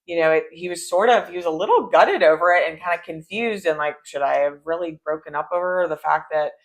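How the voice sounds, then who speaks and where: A woman with a low voice for a woman, co-hosting a casual chat and talking freely with many fillers. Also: a little fast, poor audio. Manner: chatty, frequent "like" and "you know". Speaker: co-host.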